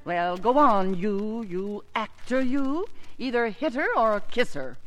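An actress playing a bossy, blunt family friend in 1950s radio drama. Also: female voice, on the high side, animated, slow, fairly bright tone, rough recording. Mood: exasperated, bossy.

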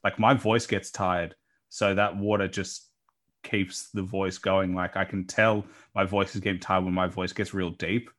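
Man, late twenties, deep voice, podcast host, energetic, self-deprecating, casual. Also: unhurried. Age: late 20s. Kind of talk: casual interview chat.

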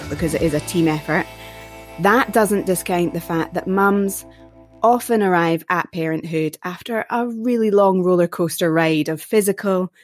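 A woman co-hosting a parenting podcast, introducing the show with playful jokes and then interviewing a guest. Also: fairly low pitch. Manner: playful, jokey. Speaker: podcast co-host.

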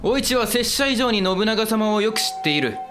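An earnest young samurai lord, with a high voice for a man. His speech is courteous, formal and old-fashioned, period audio drama style, over clear background music. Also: lively intonation, moderate pace. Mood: puzzled, probing. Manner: formal, deferential warrior speech.

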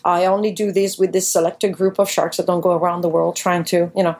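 A woman in her forties from Italy, speaking, answering interview questions in an animated storytelling way with occasional fillers. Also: lowish voice, fairly quick. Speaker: guest, professional shark diver.

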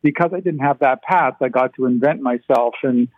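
An older man whose first language is Ukrainian talks haltingly, full of restarts and fillers. His voice arrives thin and tinny over a phone line. The sound is completely dry. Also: mid-range voice, dry recording. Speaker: interview guest. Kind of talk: phone interview on radio.

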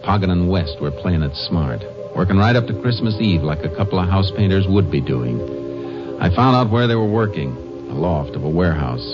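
An actor playing a stern, shrewd Texas Ranger who also narrates, clipped and dry, with an American accent in an old-time radio detective drama. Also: male voice, low voice, animated, a little fast. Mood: dry, matter-of-fact. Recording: muffled old radio recording with music.

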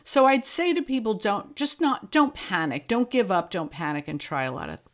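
A woman's voice: medium pitch, very animated, moderate pace. A woman talking casually as an interview guest, plain-spoken and encouraging. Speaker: podcast guest, fiction podcast creator.

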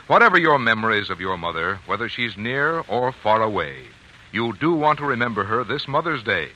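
Very bright, forceful male radio announcer. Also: fairly low pitch, big pitch swings, moderate pace, highs cut off. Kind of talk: 1940s scripted radio commercial announcing. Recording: old radio broadcast, faint music behind.

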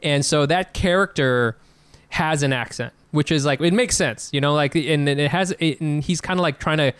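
A man's voice: fairly high pitch, normal speed, a bit bright, clean tone, no room sound. A man co-hosting a casual comedy chat, telling stories animatedly and laughing often. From Vietnamese heritage.

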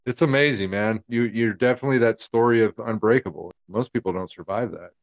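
Casting note: male host, fairly low voice, easygoing and encouraging, plenty of "you know.". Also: a little fast, vocal fry, low-quality recording. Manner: relaxed, many fillers, supportive. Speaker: podcast host. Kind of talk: casual interview.

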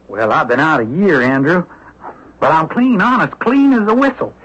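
An actor playing a nervous, folksy, sheepish ex-convict park clerk in a 1970s radio drama. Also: male voice, on the high side, big pitch swings, moderate pace, fairly bright tone, slightly rough, full character voice. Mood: nervous, glum. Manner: colloquial, folksy. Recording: dull old radio recording.

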